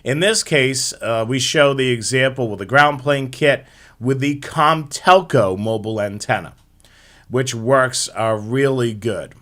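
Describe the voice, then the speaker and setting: A man's voice: medium pitch, measured pace, sharp tone. A man hosting a live hobby show, explaining things step by step in an informal slideshow presentation.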